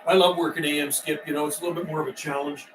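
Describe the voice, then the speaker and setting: A man works the CB radio, mixing repeated slang call-outs with casual chat. His voice sits a bit above average in pitch. It is caught at some distance from the mic, with faint noise around it. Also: little pitch movement, very fast. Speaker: CB radio operator, livestream host.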